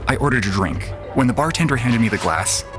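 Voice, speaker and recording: A man's voice: mid-range voice, expressive, fairly quick, close to an everyday voice. A man playing a cocky, jaded young racing pilot who narrates a scripted science fiction audio drama. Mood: wry, reflective. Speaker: narrator and lead actor. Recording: voice over prominent background music.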